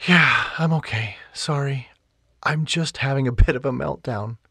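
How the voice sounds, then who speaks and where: A man voicing a stressed, stubborn young woman, raising his pitch and speaking emphatically. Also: normal speed, vocal fry, pushed and bright, husky, no room sound, studio quality, full character voice. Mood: upset.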